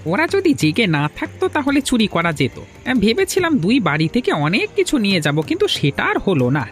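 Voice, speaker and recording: Insistent younger cartoon villager, very high male voice, animated pitch, music behind man acting. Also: brisk. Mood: impatient, sneaky. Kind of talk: Bengali cartoon fairy-tale dubbing.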